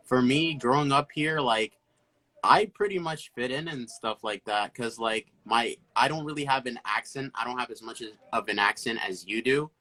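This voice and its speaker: A young man talks in a bright, forceful voice of average pitch. His style is casual and conversational, like chatting with a friend, with slang sprinkled through it. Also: rough recording. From born in Haiti, moved to the US when very young. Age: young adult. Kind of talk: casual chat.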